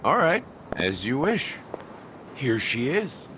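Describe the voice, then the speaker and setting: An actor playing a smug, playful young schemer in a game, teasing and theatrical. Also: male voice, mid-range voice, wildly swooping pitch, takes it slowly, background noise. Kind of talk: scripted game voice acting.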